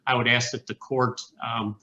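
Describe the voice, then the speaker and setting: A man's voice: mid-range voice, little pitch movement, normal speed, bright, forceful tone, lo-fi sound, a little hiss or hum. A man who is a defense attorney, making a formal, careful statement to the court over a video call, with many ums.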